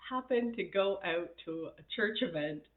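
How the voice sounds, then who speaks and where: A woman coaching on a live stream, chatty and laughing often, her voice raspy from a recent illness. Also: lowish voice, animated, moderate pace. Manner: chatty, laughs often, reads viewer comments. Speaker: happiness coach. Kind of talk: casual live-stream talk. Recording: tinny phone-like sound in an echoey room.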